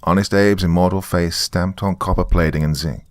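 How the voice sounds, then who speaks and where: A man's voice: deep voice, fairly even intonation, normal speed, no room sound, natural voice. A man voicing a weary, sardonic small-town man, rambling wryly through a taped confession in a horror story. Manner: rambling, wry, colloquial. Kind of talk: dramatic monologue as a taped confession.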